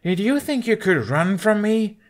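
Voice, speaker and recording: A man's voice: sits high, big pitch swings, normal speed, pitched above the performer's own voice. A man voicing an embittered, sarcastic young male Viking dragon rider in an audiobook story. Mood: angry. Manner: biting, sarcastic.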